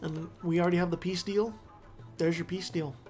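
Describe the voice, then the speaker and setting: A man's voice: high-pitched, fairly even intonation, fairly quick, a little mellow, faint music behind. A man commentating on a strategy game in a casual, rambling way, correcting himself as he goes and sounding congested. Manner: chatty, rambling, self-correcting.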